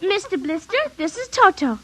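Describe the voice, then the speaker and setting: A woman playing a bossy, excitable little girl in an old radio drama, childlike and insistent. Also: very high voice, normal speed, noisy background. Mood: bossy, playful. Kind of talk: 1950s radio acting.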